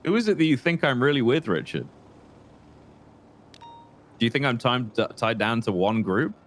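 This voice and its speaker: A man playing a bitter, menacing former trooper turned criminal in an improvised roleplay, speaking deliberately and haltingly with condescension. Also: medium pitch, very animated. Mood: cold, challenging. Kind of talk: improvised crime roleplay phone conversation.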